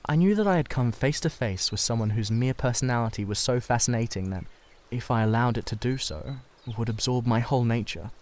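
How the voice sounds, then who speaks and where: A man acts out a Victorian gentleman artist from a classic novel. He speaks at a middle pitch with intense, confessional earnestness, hesitating as he confides. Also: natural voice.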